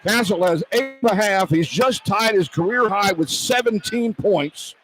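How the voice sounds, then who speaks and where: A man doing live radio play-by-play of a basketball game in clipped, excitable running phrases that can rise into shouts. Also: high voice, measured pace, faint music behind, rough recording. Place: courtside in an indoor arena. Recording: loud arena noise behind. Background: loud game ambience.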